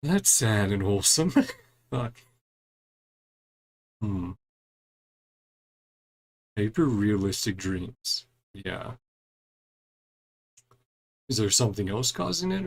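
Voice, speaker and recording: A man's voice: on the low side, very animated, slow pace, pauses often. A man giving casual commentary on a game as he plays, musing aloud and reading bits of dialogue. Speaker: gaming streamer.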